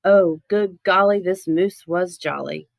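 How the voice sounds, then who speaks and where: Lady reading a kids picture book out loud, playful and expressive, really dry close sound. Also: lowish voice, unhurried, vocal fry, dry recording. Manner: playful, expressive, theatrical emphasis. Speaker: storybook reader. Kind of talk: animated children's picture book read-aloud.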